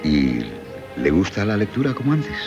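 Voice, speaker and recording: Deep-voiced, courteous former tutor. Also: male voice, very animated, muffled recording, music in the background. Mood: curious, gentle. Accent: Castilian Spanish. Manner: measured, polite, theatrical. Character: courteous, gently ironic former tutor, worldly and probing. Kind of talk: 1970s Spanish radio drama acting.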